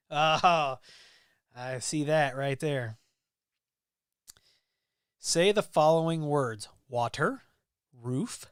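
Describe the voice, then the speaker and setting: Chatty Midwestern man, smooth clear voice. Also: a little high, no room sound, clean studio sound. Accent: Midwestern American. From American Midwest. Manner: rambling, relaxed, with many ums and restarts. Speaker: livestream host. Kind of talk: casual live-stream chat reading viewer comments.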